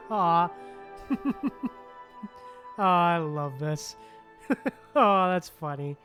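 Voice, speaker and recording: A woman's voice: fairly low pitch, sing-song, very slow, a bit bright, faint music behind. A woman streaming a game with casual commentary in a giggly, slangy manner.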